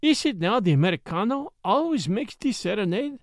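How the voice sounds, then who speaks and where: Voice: medium pitch, sing-song, brisk, no room sound, clean studio sound. A narrator voicing a pert, childlike young Mexican woman in a storybook, speaking broken English with a Spanish accent and a teasing lilt. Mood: pouting, teasing. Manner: saucy, lilting, childlike.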